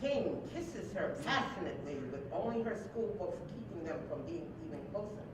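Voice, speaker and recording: A woman's voice: medium pitch, animated, normal speed. A woman reading stage directions aloud as scripted narration at a live staged play reading. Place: large echoey room, live staged reading. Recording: very echoey live hall, distant mic, noisy.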